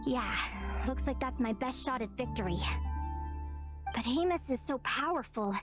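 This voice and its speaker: A woman's voice: sits high, expressive, normal speed, over music. A woman playing an earnest, determined young female advisor in a video game. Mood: troubled, resolute.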